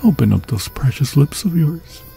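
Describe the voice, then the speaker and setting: A man voicing an obsessed, possessive demon lord in an ASMR horror roleplay, husky and breathy, often dropping into a whisper, with grandiose, seductive phrasing. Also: on the high side, very animated, normal speed, mellow, faint music behind. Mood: commanding, ceremonial. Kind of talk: ASMR fantasy horror roleplay, theatrical monologue. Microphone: close, dry microphone.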